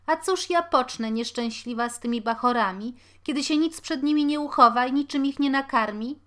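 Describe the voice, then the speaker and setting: A woman voicing a harried poor mother who scolds loudly and pleads tearfully. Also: on the high side, animated, a little slow, voice pushed up. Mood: pleading.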